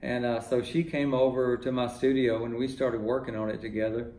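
A man's voice: medium pitch, brisk. Rambling man in a roomy studio. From Texas. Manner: relaxed, rambling with many fillers. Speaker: music producer. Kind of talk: casual interview talk. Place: home music studio, indoors.

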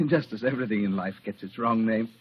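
An actor playing a haughty, evasive young lawyer with a pompous, fussy manner in 1940s radio style. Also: male voice, on the low side, a little fast, breathy edge. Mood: haughty, irritated. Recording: muffled old radio broadcast.

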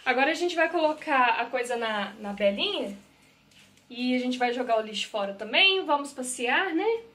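A woman's voice: sits high, animated, normal speed. A woman in her thirties chatting to her phone camera at home, friendly and talkative with frequent laughs. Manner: chatty, warm and affectionate, laughs often. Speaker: vlogger. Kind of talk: casual vlog chat to camera. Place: bright room at home, indoors. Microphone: phone camera held at arm's length.

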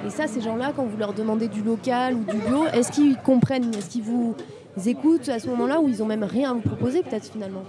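A woman's voice: a little high, fast, a crowd in the background. A woman hosting a live radio interview on an outdoor terrace, introducing her guests and asking friendly, leading questions. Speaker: radio host. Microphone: table mic on stand.

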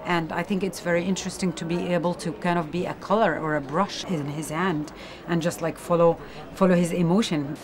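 A woman's voice: on the low side, fairly even intonation, fairly quick, noisy background. A woman actress answering interview questions in a thoughtful, casual way. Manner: thoughtful, casual, with many likes.

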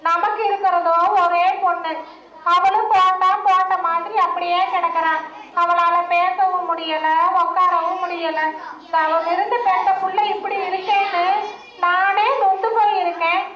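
A woman's voice: extremely high pitch, steady tone, measured pace, a bit bright. A woman voicing a weary, emotional village wife and mother in a live puppet show, scolding and lamenting. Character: weary wife and mother, Vimala, middle-aged. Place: outdoor stage performance. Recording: echoey, dull, faint hiss.

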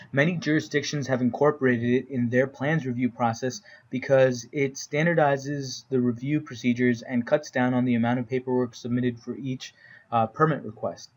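An American man narrates a training presentation as an instructor. His voice sits at a middle pitch. He explains each point in plain, informal wording, as in a webinar voice-over. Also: steady tone, moderate pace, poor audio. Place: voice-over recorded for a slide presentation.

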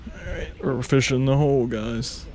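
A man narrating his fishing trip by a lake, talking casually and getting loud and excited when fish bite, recorded on a head-mounted camera. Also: mid-range voice, normal speed. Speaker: fishing vlogger. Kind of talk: casual outdoor commentary. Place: outdoors by a lake below a dam. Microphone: head-mounted action camera. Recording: faint outdoor noise.

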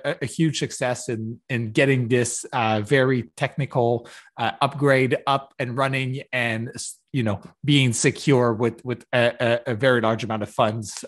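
A man giving a technical keynote over a video call, halting with many fillers and restarts. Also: medium pitch. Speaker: conference keynote speaker. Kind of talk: technical conference talk. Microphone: video call webcam.

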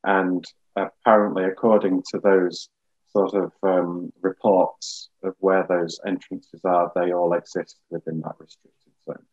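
A man in his thirties giving measured explanations as a geologist guest on a webinar video call. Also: low-pitched, flat delivery, husky, highs cut off. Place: small room, indoors, on a video call.

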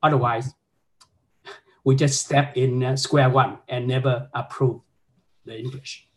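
A man's voice: medium pitch, level tone, unhurried. A man in his fifties with a Vietnamese accent, a guest instructor talking frankly on a video call from a small home office, with chuckles and tag questions. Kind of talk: casual video call chat. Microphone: laptop webcam mic on video call.

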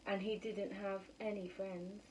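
A woman in her thirties reading a picture book aloud for children, expressive and full of exclamations and questions. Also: mid-range voice, measured pace, a little hiss or hum. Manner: animated, exclaiming, asking rhetorical questions. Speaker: primary school bedtime story reader. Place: small room at home. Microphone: camera a metre or two away. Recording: slightly distant phone camera recording.

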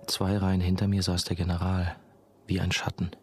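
An actor playing a troubled, wry young novice monk who narrates a radio play in a measured, introspective way. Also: male voice, deep voice, monotone, a little hiss or hum. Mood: brooding. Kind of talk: scripted first-person radio play narration.

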